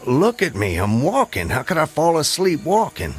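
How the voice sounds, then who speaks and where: A man's voice: fairly high pitch, wildly swooping pitch, normal speed, slightly rough, faint music behind, full character voice. An actor playing a bewildered, hesitant man walking home in an old-time radio drama, uneasy and questioning.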